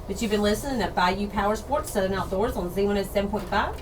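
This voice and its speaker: A woman co-hosting a radio outdoors show in casual chat, slightly hoarse from losing her voice. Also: medium pitch, fast. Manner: chatty, laughs easily, repeats details. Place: radio studio. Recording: distant mic with background noise.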